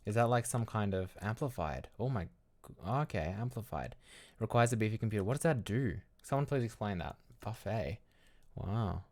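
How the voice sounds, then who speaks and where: A man's voice: lowish voice, animated, moderate pace, darkish tone. An Australian man chatting casually as a livestream host, rambling loosely and thinking aloud. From Melbourne, Australia.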